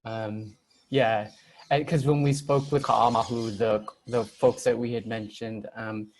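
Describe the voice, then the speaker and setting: Man panelist, monotone delivery, soft and hesitant, video call. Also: fairly low pitch, measured pace, a little hiss or hum, low-quality recording. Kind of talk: panel discussion.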